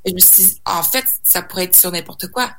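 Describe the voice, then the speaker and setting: A woman's voice: lowish voice, brisk, sharp tone, slight background noise. A young woman from Saskatchewan, a singer guest in a casual interview, talking hesitantly with many fillers and restarts and slipping in English words. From Saskatchewan, Canada.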